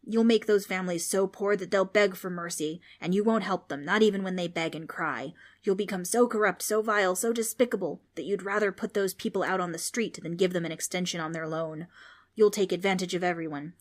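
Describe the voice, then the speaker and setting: A woman reading aloud, voicing a loud, scornful male ghost who mocks children. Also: lowish voice, brisk, lightly acted. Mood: mocking. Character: bossy male ghost, gruff and mocking.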